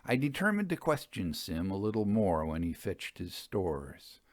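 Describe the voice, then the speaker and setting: A man's voice: deep voice, very animated, measured pace, no echo. A man reading a Victorian ghost story aloud as a literary narrator.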